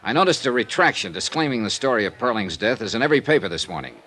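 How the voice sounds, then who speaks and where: A hard-nosed American investigator from a 1950s radio drama. His delivery is clipped and wry, with lively swings in pitch as he reports like a man filing his expenses. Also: quick pace. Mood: businesslike. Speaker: lead actor and narrator of a radio detective series. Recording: vintage 1950s radio recording.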